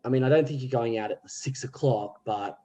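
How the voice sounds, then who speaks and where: A man's voice: mid-range voice, fairly quick, mellow. A man from Australia with an Australian accent, a podcast guest telling rambling stories with plenty of fillers. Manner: rambling anecdotes, many fillers. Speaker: guest, theatre group member. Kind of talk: casual podcast chat.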